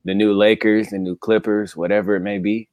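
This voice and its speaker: A man's voice: on the low side, little pitch movement, fairly quick, darkish tone, no room sound. A man co-hosting a casual sports chat, talking in a laid-back, slangy, conversational way. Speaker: sports podcast co-host.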